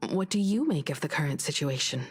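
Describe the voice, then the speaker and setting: An actress voicing a grave, brooding senior investigator in a video game, speaking in a measured, solemn way. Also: female voice, low voice, expressive, slightly rough. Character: grave, brooding senior investigator woman, troubled by past tragedy. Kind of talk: dramatic video game voice acting.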